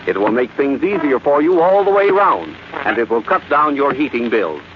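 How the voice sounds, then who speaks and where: A man's voice: mid-range voice, sing-song, normal speed. A man announcing in a formal, polished and emphatic 1940s radio style. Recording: muffled vintage radio broadcast, faint noise.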